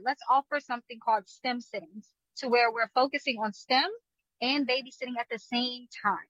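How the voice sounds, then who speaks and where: A woman's voice: high-pitched, bright, forceful tone, no echo, lo-fi sound. A woman from DC, a guest on a show, answering upbeat and laughing between answers. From Washington DC. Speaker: guest, business owner. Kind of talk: casual interview talk.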